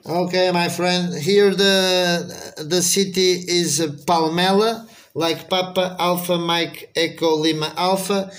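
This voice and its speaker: A man's voice: high-pitched, fairly even intonation, slow, few pauses, a bit bright. A man with a Portuguese accent, speaking English as a second language, making a radio contact and spelling things out phonetically. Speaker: amateur radio operator.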